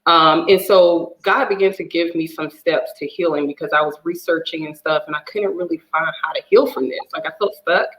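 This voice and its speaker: A woman's voice: sits low, brisk, few pauses, fairly bright tone, roomy sound, poor audio. A woman telling her personal story as a divorce coach guest, earnest and emotional. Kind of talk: personal testimony in a talk show.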